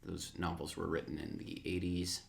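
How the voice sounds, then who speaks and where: A man's voice: sits low, little pitch movement, normal speed, creaky, slight background noise. A man co-hosting a podcast in casual chat, with dry wisecracks. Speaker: podcast co-host.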